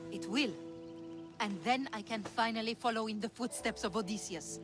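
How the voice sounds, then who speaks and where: A woman's voice: a little high, normal speed, natural voice. An actress playing a bold, self-assured young woman who yearns for heroic glory, in video game cutscene dialogue over music. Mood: determined, hopeful.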